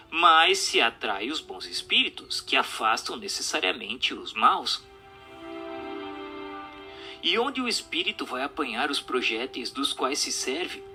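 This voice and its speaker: Um ator brasileiro interpretando uma voz solene e instrutiva numa radionovela espírita, em tom formal e declamatório. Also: male voice, on the high side. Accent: Brazilian Portuguese. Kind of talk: scripted radio drama reading of a spiritist question-and-answer dialogue.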